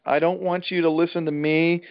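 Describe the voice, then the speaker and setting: A man giving an informal real estate trainer's talk over a conference call, plain-spoken and emphatic, with a salesman's folksy pitch. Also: level tone, normal speed. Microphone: telephone conference call. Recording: dull, band-limited conference call line.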